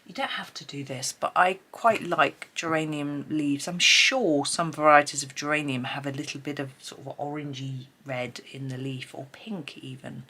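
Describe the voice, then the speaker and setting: Stuffy-nosed british lady, low voice, rambly chat with lots of ums while she colours. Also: fairly even intonation, normal speed, hardly any pauses, pushed and bright, breathy edge. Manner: chatty, rambling, many ums. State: stuffy, blocked nose. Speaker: colouring channel host.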